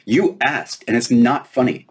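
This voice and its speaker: A man's voice: mid-range voice, a little slow, breathy edge, pitched above the performer's own voice. A man reading a children's storybook, voicing an earnest young giant girl who is hesitant and guarded. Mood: indignant. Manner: hesitant, defensive. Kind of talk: children's storybook read aloud.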